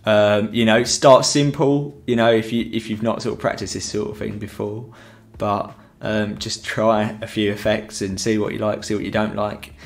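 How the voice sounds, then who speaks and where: A man's voice: on the low side, flat delivery, moderate pace, creaky, hardly any pauses. A young man with a headset mic, giving a rambling, chatty photography tutorial in a room at home. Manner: rambling, chatty, many fillers. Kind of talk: casual live-stream tutorial.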